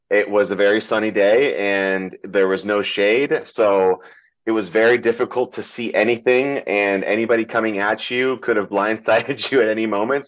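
A man who grew up in Germany talking about wrestling in a casual interview, halting and full of ums, often laughing. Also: fairly low pitch. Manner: hesitant, many ums and restarts, laughs often. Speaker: pro wrestler guest. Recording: dull lo-fi sound.

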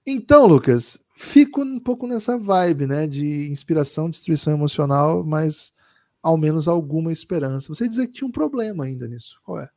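Gravelly measured podcast man. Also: fairly high pitch, expressive, a little mellow, dry recording, clean studio sound. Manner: measured, thinking aloud with many pauses. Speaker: podcast co-host. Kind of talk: casual sports podcast chat.

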